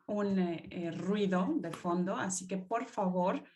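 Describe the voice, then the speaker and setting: A woman working as a simultaneous interpreter, giving a steady, measured relay of a live online welcome over a video call. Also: fairly low pitch. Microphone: computer mic on a video call.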